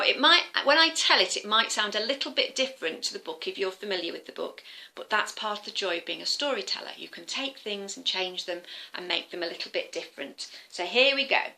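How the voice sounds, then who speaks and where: Animated, bright-voiced woman telling children's stories. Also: medium pitch. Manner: animated, addressing listeners with questions and simple explanations. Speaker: children's storyteller, former teacher. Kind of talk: interactive storytelling for young children.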